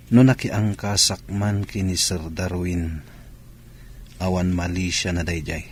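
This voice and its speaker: Man acting hurt bitter lover, very low pitch, slow solemn delivery, radio drama. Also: slight background noise. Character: hurt, brooding husband or lover, grave and bitter.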